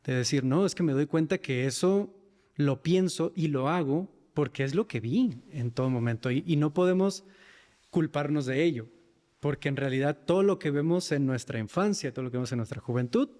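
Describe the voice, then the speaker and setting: A man's voice: a little high, normal speed, darkish tone, in a large hall. A man with a northern Mexican accent speaking reflectively about himself and his work as an interview guest. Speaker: podcast guest, men's mental health facilitator. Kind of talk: reflective personal interview talk.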